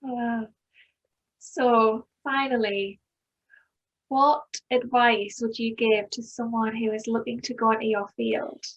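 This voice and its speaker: Young woman host, higher voice, curious questions, dull lo-fi call audio. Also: fairly even intonation, takes it slowly. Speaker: podcast host. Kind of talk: interview over video call. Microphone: video call.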